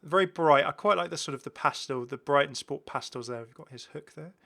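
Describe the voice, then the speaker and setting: A man's voice: fairly high pitch, a little fast, vocal fry, sharp tone. A British man giving casual, enthusiastic game commentary, rambling and often correcting himself as he goes. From United Kingdom. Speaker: gaming video host.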